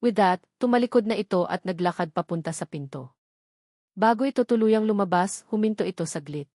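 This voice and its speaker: A woman storyteller narrates a romantic audio drama in scripted, dramatic style. Her medium-pitched voice sits in a dry recording with no room sound. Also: brisk, mellow, no room sound.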